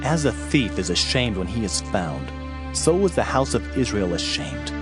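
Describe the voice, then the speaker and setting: A man's voice: on the low side, very animated, moderate pace. A man narrating an audio Bible as a dramatized reading, with a solemn, declamatory delivery. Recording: music bed behind narration.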